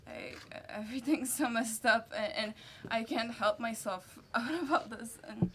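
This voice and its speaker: A young woman acting a depressed, lovesick and defensive sister in an amateur stage play, halting and emotional. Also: on the high side. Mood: tearful, despairing. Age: teenager or young adult. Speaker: student actor in a stage play. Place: indoor stage performance. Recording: noisy live stage recording with clicks.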